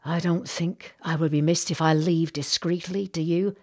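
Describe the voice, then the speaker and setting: Female narrator as a Southern gentleman officer, earnest, formal, grave, measured, courteous audiobook character. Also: lowish voice, steady tone. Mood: anxious.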